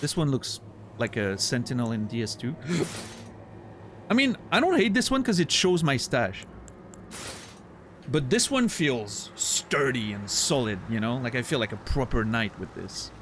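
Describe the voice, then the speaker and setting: A man's voice: mid-range voice, sing-song, a little slow, a bit bright, a little hiss or hum. A man with a French accent, French his first language, chatting casually on a livestream while he plays, thinking aloud and answering chat with playful mock outrage. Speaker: streamer. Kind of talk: casual livestream gameplay commentary.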